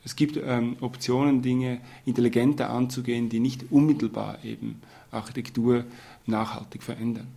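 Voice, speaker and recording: Gravelly man explaining. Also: medium pitch, level tone, moderate pace, warm tone. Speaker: urban planning historian. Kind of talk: radio interview statement.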